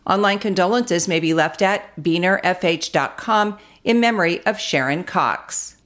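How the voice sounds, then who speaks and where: Female radio news announcer, American, on the lower side, scripted delivery. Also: moderate pace, a bit bright. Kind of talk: scripted local news reading.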